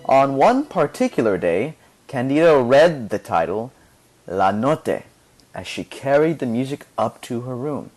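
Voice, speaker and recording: A man reading a children's story aloud in an animated, dramatic storytelling manner. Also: medium pitch, unhurried. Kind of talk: expressive read-aloud narration with music.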